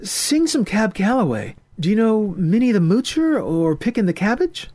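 Guy doing a sassy young swing girl, higher voice, bossy, teasing. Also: very animated, a little mellow. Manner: brisk, commanding, teasing. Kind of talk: audiobook character voice.

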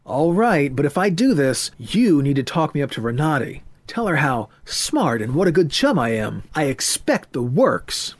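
A man's voice: on the high side, very animated, normal speed, few pauses. A man voicing a cocky young man who talks in breezy jive slang.

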